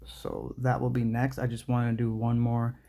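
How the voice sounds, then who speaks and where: A man's voice: medium pitch, monotone, normal speed, gravelly, mellow. A man presenting a demo, talking casually and directly to his viewers. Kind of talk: casual vlog talk to viewers.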